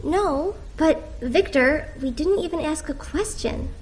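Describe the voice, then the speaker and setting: A woman's voice: high voice, slow, very noisy. A woman voicing a perky, bossy, feisty young cartoon cat who is sweetly pushy. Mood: pleading, threatening.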